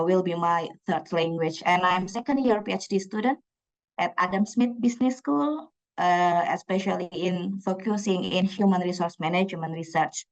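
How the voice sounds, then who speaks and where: A woman's voice: lowish voice, lo-fi sound. A young woman from Indonesia with an Indonesian accent, a PhD student presenting at a conference, speaking with frequent fillers and restarts. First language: Javanese. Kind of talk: conference talk. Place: conference room.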